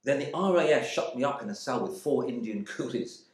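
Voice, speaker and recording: A man's voice: mid-range voice, expressive, a little fast, natural voice. A man performing a dramatic monologue as a proud, resentful airman in prison, in clipped, brooding phrases. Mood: indignant, agitated. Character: embittered English RAF airman imprisoned in India, proud and resentful. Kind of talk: dramatic monologue reading from a novel. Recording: echoey room.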